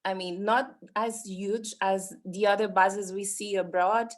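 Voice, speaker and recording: Woman, mid pitch, impromptu speech, hesitant, video call. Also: fairly even intonation, measured pace. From Mozambique. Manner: hesitant, searching for words with ums. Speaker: meeting participant.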